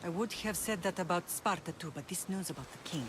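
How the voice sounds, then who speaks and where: A man's voice: high voice, brisk, background noise, natural voice. A man playing a grim, determined island leader. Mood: resolute. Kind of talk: video game cutscene dialogue.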